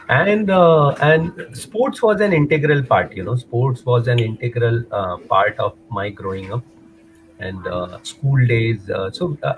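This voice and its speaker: A man's voice: medium pitch, a little hiss or hum, rough recording. A man in his forties from Mumbai with an Indian English accent, chatting as an interview guest over a video call, hesitant and full of fillers, slipping into Hindi. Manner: hesitant, many fillers, rambling. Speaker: guest, runner and motivator. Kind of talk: casual interview chat, mixing in Hindi.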